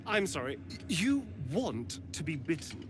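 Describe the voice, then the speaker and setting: An arch, fastidious male vampire spawn from a fantasy game. His flamboyant delivery is unhurried and highly animated in pitch, over faint ambient noise. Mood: amused, incredulous. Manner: flamboyant, arch, hesitant when affronted. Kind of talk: dramatic video game acting.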